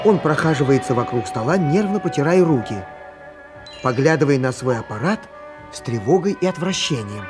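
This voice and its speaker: A man's voice: medium pitch, animated, normal speed, music in the background. A man reading scripted narration for a radio play as its storyteller.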